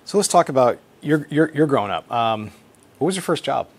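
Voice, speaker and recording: A man's voice: medium pitch, expressive, a little hiss or hum. Upbeat male podcast host. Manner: upbeat and eager, asks quick follow-up questions. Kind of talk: interview hosting.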